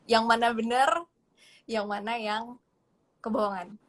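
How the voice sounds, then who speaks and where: A woman's voice: on the high side, flat delivery, measured pace. A young woman casually chatting and teasing as a guest in a truth-or-lie game. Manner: playful, teasing.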